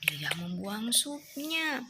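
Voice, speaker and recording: A woman's voice: on the high side, big pitch swings, very slow, very noisy. A young woman at home, reading a storybook aloud to her child, often whispering and asking the child questions.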